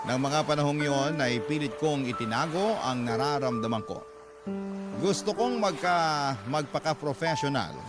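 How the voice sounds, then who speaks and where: A man voicing a heartbroken letter writer in Filipino radio drama narration, measured, earnest and confessional. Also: mid-range voice, lightly acted. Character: heartbroken letter writer recounting a lost love. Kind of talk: first-person scripted radio drama narration.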